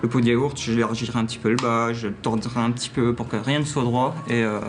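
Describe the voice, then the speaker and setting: A man's voice: medium pitch, fairly even intonation, fast, background noise. A man in a casual interview as an art director, hesitant and repeating words.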